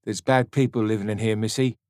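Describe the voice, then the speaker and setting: A man's voice: lowish voice, steady tone, a little fast, darkish tone, dry recording, studio quality, natural voice. A man reading an audiobook voicing a kindly, firm older soldier. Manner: kind but firm.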